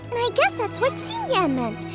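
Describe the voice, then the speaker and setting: A woman's voice: very high-pitched, huge pitch swings, normal speed, over loud music, exaggerated voice. A woman playing an eager, spirited young female chef in a video game, talking in a bubbly, exclamatory way. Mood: thoughtful. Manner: exclamatory, bubbly, thinking aloud. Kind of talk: animated video game acting.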